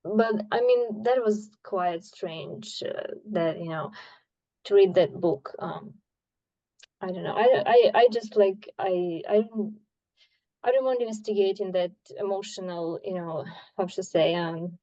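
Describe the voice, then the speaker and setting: Halting woman, mellow voice. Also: medium pitch, muffled recording. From Ukraine. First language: Ukrainian. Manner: halting, searching for words. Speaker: study group member. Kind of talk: casual group discussion.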